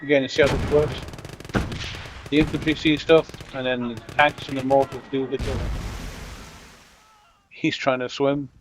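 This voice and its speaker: A middle-aged man from Britain with a blended British and American accent, giving relaxed, wry commentary over a strategy game and joking with his units. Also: mid-range voice, moderate pace, long pauses, noisy background. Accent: mixed British and American. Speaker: gameplay commentator. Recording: dull lo-fi audio.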